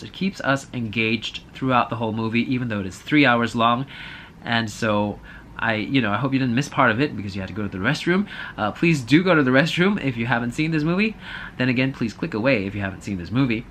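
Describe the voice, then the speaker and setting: Bright-voiced man giving a casual review. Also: medium pitch, lively intonation, fast talker, a little hiss or hum. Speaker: movie reviewer host. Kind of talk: casual opinionated review to camera.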